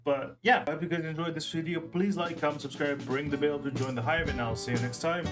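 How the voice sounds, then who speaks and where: A man's voice: a little high, fairly even intonation, quick pace, over music. A man hosting a reaction video in a casual, rambling way, repeating phrases for emphasis.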